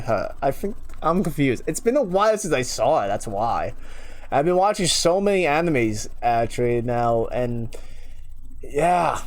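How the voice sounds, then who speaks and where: A young man from New York chatting casually and rambling on a live stream. He often loses his train of thought, chuckles a lot, and has a slight unusual lilt left from a childhood speech impairment. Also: a little high. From United States (New York). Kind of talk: casual rambling chat to viewers.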